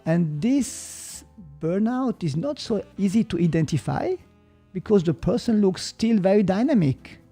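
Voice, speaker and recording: A man with a Swiss German accent talks through ideas slowly, stopping now and then to find an English word. His voice has a gravelly edge and sits a little higher than most men's. Faint music plays softly behind him. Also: animated. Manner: slow, thoughtful, gets stuck on English words. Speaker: podcast guest, martial arts teacher. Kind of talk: reflective explanatory talk.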